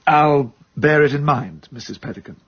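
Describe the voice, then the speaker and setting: A courteous gentleman's manservant in a radio play. His voice is slightly high and husky, with formal, reserved phrasing. The recording sounds dull. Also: normal speed. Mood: polite reserve. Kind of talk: radio drama acting.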